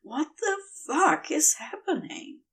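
A woman's voice: big pitch swings, takes it slowly, fairly bright tone, far from the mic, exaggerated voice. A woman voicing a stressed, incredulous male demon in an audio story, with a strained and theatrical delivery. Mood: incredulous, flustered. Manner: strained, groaning or squeaky delivery.

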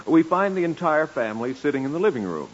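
Lively old-time radio announcer. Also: male voice, on the high side, fast. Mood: hearty. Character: hearty, mock-dramatic radio comedy announcer. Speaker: announcer and narrator. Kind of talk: 1940s radio scripted narration. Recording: muffled, hissy old radio recording.

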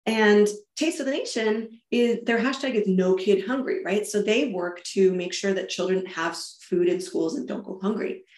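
A woman's voice: medium pitch, moderate pace, few pauses, darkish tone, reverberant. A woman from Germany, a founder talking through her event business in an interview, explaining with enthusiasm and frequent restarts. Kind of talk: conversational interview answers.

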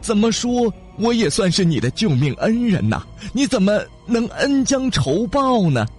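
Man doing a scared old storybook fisherman, begging, voice jumps up high, quiet music. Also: very animated, moderate pace. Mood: indignant. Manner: flustered, pleading. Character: frightened, pleading old male fisherman.

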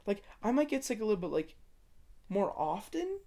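A man's voice: sits high, very animated, creaky, mellow. A teenage young man, a swim instructor, chatting casually and filling every sentence with "like.". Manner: talks fast with constant filler "like". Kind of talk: casual chat.

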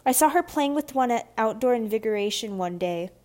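A woman's voice: a little high, animated, a little fast, darkish tone, lightly acted. A woman voicing an obnoxious, tattling schoolboy in a children's audiobook, blurting and insistent. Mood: accusing. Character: blurting, tattling, obnoxious eleven-year-old boy. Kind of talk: children's audiobook character dialogue.

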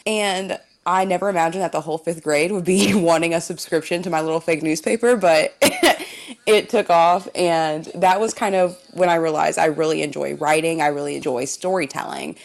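A young woman from Indiana with a low voice and a slight rasp answers interview questions. She tells her story with an easy, storytelling flow, often breaking into laughs. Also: moderate pace. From Indiana, USA. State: slightly raspy voice. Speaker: TV news anchor, guest.

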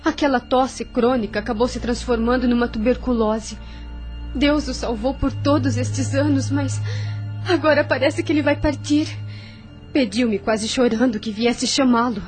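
Radio soap role, female actor: dignified immigrant mother, hurt and reproachful, higher-pitched voice over faint music. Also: moderate pace, a little mellow, lightly acted. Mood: grave. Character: proud, wounded, reproachful immigrant mother of a young boy. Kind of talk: radio soap opera acting.

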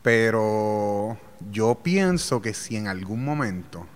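A man's voice: on the low side, lively intonation, takes it slowly, big-room sound. A man hosting a casual interview, chatty and laughing often, mixing in English words. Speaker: podcast host.

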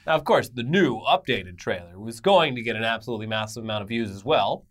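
A man's voice: medium pitch, animated, fast talker. A man co-hosting an entertainment news show with semi-scripted commentary and casual banter, emphatic, irreverent and sarcastic.

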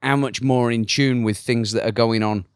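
A man's voice: medium pitch, steady tone, clean tone, no room sound, studio quality. A man hosting a podcast, joking and firing off questions in casual chat. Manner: joking, asks quick questions. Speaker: podcast host.

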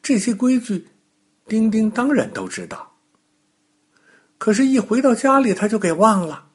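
A man telling a bedtime story to small children in an expressive, unhurried manner with gentle interjections. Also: sits high, husky.

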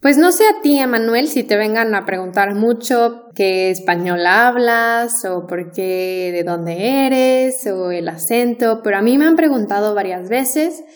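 A woman's voice: on the high side, expressive, a little slow, hardly any pauses. A Mexican woman who teaches Spanish, co-hosting a podcast and explaining things in a conversational way with asides and tag questions. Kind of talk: casual podcast chat.

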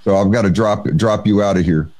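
Brief: male stream host, slightly low voice, loose and chatty delivery, casual home setup. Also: a little fast, very noisy. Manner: rambling and chatty, trails off. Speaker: livestream host. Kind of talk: casual livestream chat.

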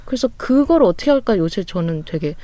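A woman co-hosting a casual talk podcast, reflecting slowly and deliberately, often hedging her points. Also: on the low side, expressive, warm tone. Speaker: podcast co-host. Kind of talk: casual chat.

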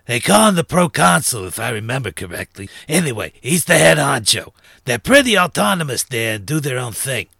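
A man's voice: mid-range voice, very animated, fairly quick, vocal fry, bright, forceful tone, no echo, clean studio sound, exaggerated voice. A man voicing a short, thin, sly underworld fence and information broker in a narrated story, with chummy, streetwise slang. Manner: streetwise gangster slang, chummy and self-assured. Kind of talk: acted character dialogue in a narrated story.

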